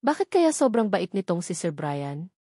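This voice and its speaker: A woman's voice: lowish voice, sing-song, normal speed, warm tone, breathy edge, dry recording, clean studio sound. A woman with a Filipino accent reading a first-person romance novel as scripted narration. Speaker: audiobook narrator.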